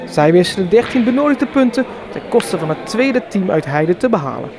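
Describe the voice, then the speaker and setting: A man's voice: high-pitched, very animated, brisk. A man reading scripted narration as a local television news reporter. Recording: clear urban ambience noise behind the voice.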